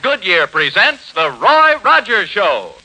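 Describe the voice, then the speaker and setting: A man's voice: high voice, wildly swooping pitch, takes it slowly, over loud music, low-quality recording. An American man announcing a 1940s radio show from a script, with a formal, emphatic delivery on a vintage broadcast recording.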